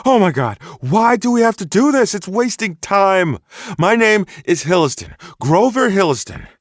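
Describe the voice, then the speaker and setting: A man voicing an excitable, pushy male Bigfoot hunter who talks in a shrill, frantic, indignant way, in an audiobook. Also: fast talker, no room sound, clean studio sound, natural voice. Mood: exasperated.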